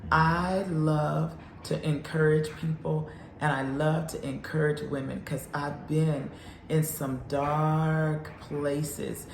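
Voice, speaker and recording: A woman's voice: low-pitched, level tone, slow pace, creaky, darkish tone, echoey room, slight background noise. A woman in her fifties, a counselor, giving an earnest, encouraging faith talk. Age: 50s.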